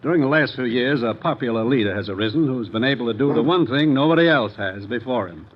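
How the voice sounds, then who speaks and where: A man's voice: mid-range voice, expressive, fast, natural voice. A man acting a grave, businesslike American intelligence commissioner in a 1950s radio drama, giving an earnest briefing with authority. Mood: grave, explanatory. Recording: muffled vintage radio recording.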